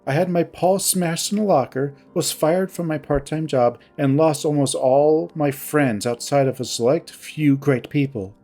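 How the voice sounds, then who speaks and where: A stern young animal woman in a story, played by a man speaks with curt, deliberate formality. She is wounded and accusing, holding herself tightly, over a bed of music. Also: a little high, dark tone, lightly acted. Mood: bitter, indignant.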